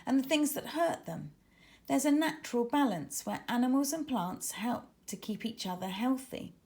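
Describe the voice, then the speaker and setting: A woman's voice: a little high, animated, normal speed, a little mellow, pitched above the performer's own voice. A woman reading the part of a confident, friendly young girl marine biologist in a children's storybook, explaining things in an assured way. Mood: explanatory.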